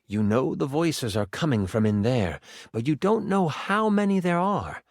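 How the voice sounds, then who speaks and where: A man's voice: mid-range voice, very animated, unhurried, no echo, clean studio sound. A man voicing an eager, nerdy living twenty-sided die in a video game, a theatrical game chronicler with geeky, mock-archaic flourishes. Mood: dramatic narration. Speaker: game master character in a video game. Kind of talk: animated video game voice acting.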